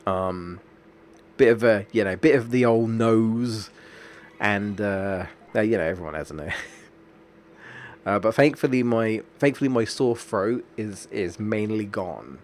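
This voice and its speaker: A low-voiced man, a bit stuffed up from a cold, talking to his viewers during a game stream. He rambles and often breaks off to restart his sentences. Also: a little slow. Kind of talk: casual chat while streaming a game.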